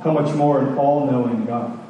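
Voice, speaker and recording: A man preaching a sermon and teaching scripture, earnest and emphatic, with rhetorical questions, pauses and repetition. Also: medium pitch, fairly even intonation, mellow. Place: large reverberant room. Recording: echoey hall, distant mic, faint noise.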